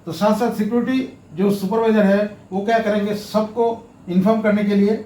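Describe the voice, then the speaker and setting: A man giving a step-by-step tutorial, explaining haltingly and repeating himself, with English terms mixed in. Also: high voice, level tone, warm tone. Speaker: instructor, tutorial presenter. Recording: roomy echo, faint background noise.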